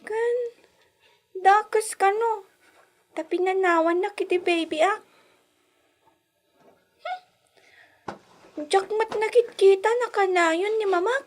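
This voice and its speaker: A woman playing a small, plaintive daughter with a slow, childlike, pleading manner in a radio drama. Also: extremely high pitch. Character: small plaintive girl, daughter pining for Mama.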